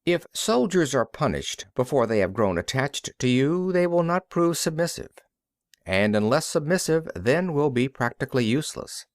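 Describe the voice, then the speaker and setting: A man reading an audiobook as scripted narration, in a measured and deliberate way. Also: fairly high pitch, lively intonation, dry recording, clean studio sound. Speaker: audiobook reader.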